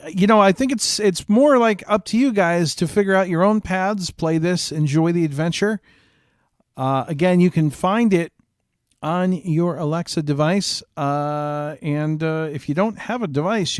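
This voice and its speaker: A man's voice: fairly high pitch, a little mellow, clear, resonant tone, dry recording, studio quality. A man speaking casually as a streamer, reviewing a game and addressing the audience. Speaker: streamer and show host.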